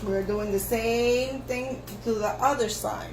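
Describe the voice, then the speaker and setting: A woman hairstylist whose first language is Spanish, giving slow step-by-step instructions for a haircut, with lots of repetition. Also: a little high, fairly even intonation, noisy background. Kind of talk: haircut tutorial narration. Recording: echoey room with faint ambience.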